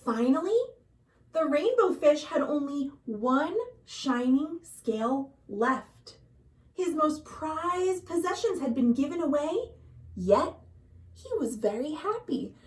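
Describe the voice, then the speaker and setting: Children's librarian storytime read: woman, high voice, unhurried, dramatic delivery aimed at young listeners. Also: very animated, darkish tone, distant mic, poor audio. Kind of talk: expressive picture-book read-aloud for children. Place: at home.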